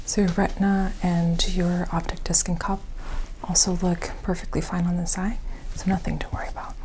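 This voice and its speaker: A woman whispering softly and breathily in an ASMR medical roleplay, gently and carefully narrating an examination like a clinician. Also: lowish voice, level tone, moderate pace, slight background noise, poor audio.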